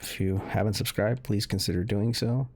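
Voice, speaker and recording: A man giving casual, off-the-cuff game commentary, conversational and thinking aloud in a measured way. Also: fairly low pitch, steady tone, darkish tone. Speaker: gaming video host, commentator. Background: music under the voice.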